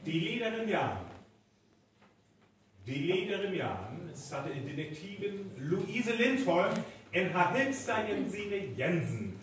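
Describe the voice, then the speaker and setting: A man acting a theatrical storyteller in a live North Frisian radio play, deliberate and declamatory. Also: high-pitched, sing-song, full character voice. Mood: narrating. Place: live performance in a hall. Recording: echoey hall, distant, some noise.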